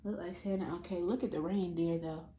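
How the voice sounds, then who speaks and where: An American woman hosting a children's storytime, reading aloud in a playful, expressive, theatrical way and talking straight to young listeners. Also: lowish voice, a little fast. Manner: exaggerated, theatrical delivery of silly sound words. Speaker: children's read-aloud host. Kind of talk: animated storytime reading for kids. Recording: roomy echo, light background noise.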